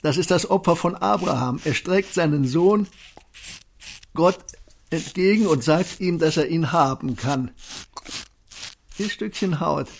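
An actor playing a wise, gentle old shopkeeper in a radio play, speaking in calm, unhurried aphorisms, with a gravelly voice. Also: male voice, on the high side. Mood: gently philosophical. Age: older.

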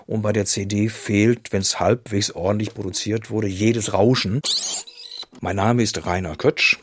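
Male interviewee, fairly deep, dry acoustic, spontaneous and searching for words. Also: fairly even intonation, measured pace, slightly rough, dry recording. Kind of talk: unscripted interview talk.

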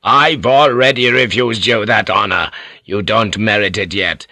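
Man as an imperious feudal lord, forceful and cutting. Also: low voice, a little slow, dry recording, exaggerated voice. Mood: angry, scornful. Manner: commanding, cutting, stern.

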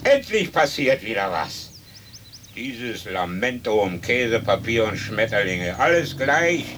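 Distant, bitter cynic. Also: male voice, lowish voice, very animated, measured pace. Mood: bitter, bored. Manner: sardonic, disgruntled. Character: bitter, fatalistic cynic pinned to a tree. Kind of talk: German radio play acting. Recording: distant voice on old radio recording, faint noise.

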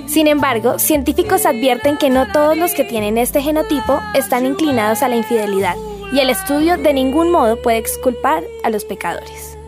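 Female Colombian radio narrator, very high voice, scripted read, light music bed. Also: moderate pace. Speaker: radio presenter.

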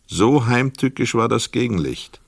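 A man's voice: mid-range voice, expressive, measured pace, gravelly, husky, no room sound. A man reading literary narration for a radio play, recorded close in a studio. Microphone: close dry studio mic.

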